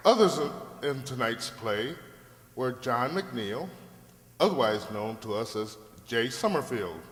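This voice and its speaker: A man working as an announcer, reading scripted radio drama credits in a measured, formal manner. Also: mid-range voice, expressive, noisy background. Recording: echoey hall, loud music behind.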